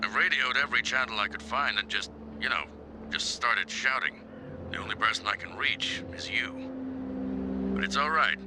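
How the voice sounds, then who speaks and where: A man's voice: medium pitch, normal speed, close to an everyday voice. An actor playing a weary, wry older boatman in a video game, talking in a dry, sardonic, natural way. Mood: resigned calm. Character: weary, wry older male boatman stuck on a sinking boat. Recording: heavy noise over the voice.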